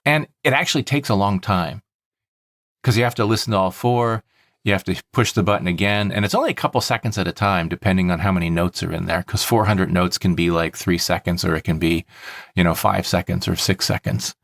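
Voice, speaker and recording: A man's voice: low-pitched, a little fast, vocal fry, a bit bright, no echo. A man hosting a podcast, explaining things in a casual, methodical way. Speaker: podcast host.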